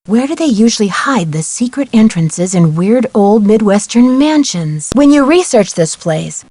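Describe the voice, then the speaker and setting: A woman's voice: mid-range voice, expressive, measured pace, dark tone, dry recording. A woman playing a polite, inquisitive young female amateur detective in scripted video game dialogue. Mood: curious, friendly. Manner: courteous, inquisitive, clearly enunciated.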